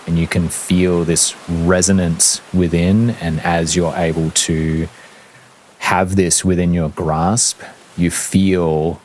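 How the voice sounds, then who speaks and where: Deep, slow-speaking male game master. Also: a little mellow, a little hiss or hum, low-quality recording. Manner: measured, descriptive, deliberate pauses. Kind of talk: narrating a tabletop fantasy game.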